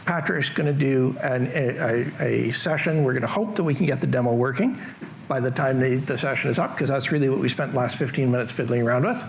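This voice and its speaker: A man's voice: medium pitch, fairly quick, creaky, a little hiss or hum. A middle-aged man with a Canadian accent giving a casual, joking tech conference talk in a large hall. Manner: casual, joking, self-deprecating. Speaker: conference presenter.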